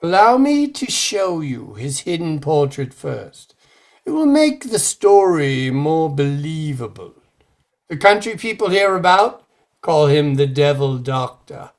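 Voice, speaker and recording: An actor playing a grave, formal man who recounts a dark legend with deliberate drama, in old-time radio horror style. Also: on the high side, very animated, heavily acted. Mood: ominous, formal.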